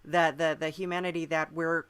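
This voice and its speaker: A man interviewing casually on a podcast, halting as he searches for words to frame his questions. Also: fairly high pitch, level tone, fairly bright tone, slight background noise.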